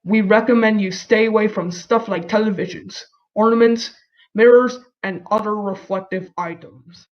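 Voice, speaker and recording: A man's voice: high voice, steady tone, moderate pace. A man's synthetic-sounding voice reading out a scripted emergency announcement formally. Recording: dull lo-fi audio.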